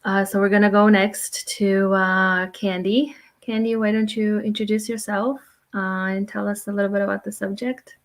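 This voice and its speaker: A woman's voice: medium pitch, level tone, moderate pace. A woman moderating a group video call. She thanks each speaker and talks with many "uh" fillers.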